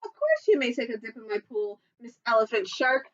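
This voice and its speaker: A woman's voice: a little high, very animated, a little fast, muffled recording. A woman voicing a cheerful, obliging lifeguard creature at a pool.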